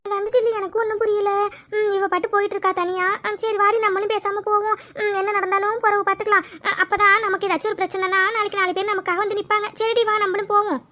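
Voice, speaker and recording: A woman's voice: very high voice, flat delivery, quick pace, fairly bright tone. A woman voicing a timid, fussy village woman who gossips with friends. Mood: fretful. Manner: fussy, cautious. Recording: clear background ambience noise.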